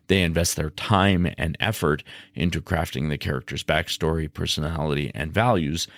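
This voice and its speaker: Deep, creaky-voiced male podcast host. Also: a bit bright, dry recording, studio quality. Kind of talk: explanatory podcast monologue, semi-scripted.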